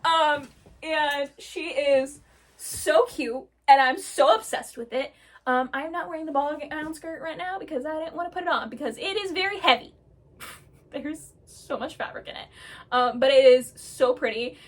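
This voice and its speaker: A woman vlogger gushing about her own characters in casual talk, excitable and full of "um" fillers. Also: high-pitched, normal speed. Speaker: storyteller vlogger.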